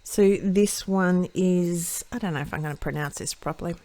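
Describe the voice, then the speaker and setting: Woman, lowish voice, slightly croaky, chatty craft tutorial, self-correcting, dry close sound. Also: moderate pace, mellow, no room sound. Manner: chatty, self-correcting, thinks aloud while painting. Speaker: card-making tutorial host. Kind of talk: casual step-by-step craft demonstration.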